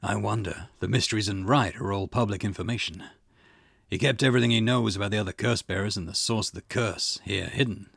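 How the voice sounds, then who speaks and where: Give the part of a seasoned police boss in a visual novel to a man acting it out. He is low and husky, brusque and wry. His lines come measured and matter-of-fact, with a gravelly rasp. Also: a bit bright, dry recording, studio quality, heavily acted. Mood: dry, matter-of-fact. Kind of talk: reading visual novel dialogue in character.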